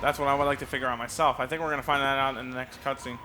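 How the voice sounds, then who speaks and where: A man's voice: medium pitch, little pitch movement, racing, sharp tone, a little hiss or hum. A man giving casual, wordy game commentary with friends, explaining and arguing his points. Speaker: gaming video co-commentator. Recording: game music clearly behind voice. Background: video game cutscene music.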